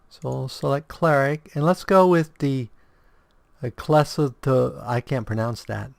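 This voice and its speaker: A man with a sore throat and strained voice hosting a casual game walkthrough, explaining choices in a halting way and correcting himself. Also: medium pitch, creaky, dry recording. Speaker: video game guide host.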